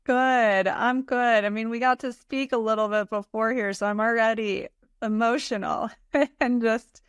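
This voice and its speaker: A woman's voice: fairly high pitch, steady tone, a little slow, clear, resonant tone, no echo. A middle-aged woman hosting an interview podcast, talking conversationally in an effusive, earnest way into a close desk microphone. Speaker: podcast host. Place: indoor room, video call.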